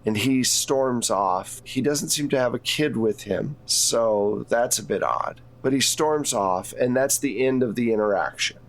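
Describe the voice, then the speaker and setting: A man's voice: mid-range voice, measured pace, few pauses, low-quality recording. Male game master, mid-range voice, conversational and explanatory, improvising scene narration for a tabletop game.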